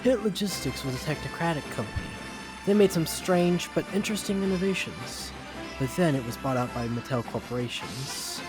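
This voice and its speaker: A man's voice: on the high side, animated, moderate pace, over music, close to an everyday voice, low-quality recording. A man playing a solemn male duke in an amateur dramatic reading, declamatory as he addresses his subjects. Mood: grave.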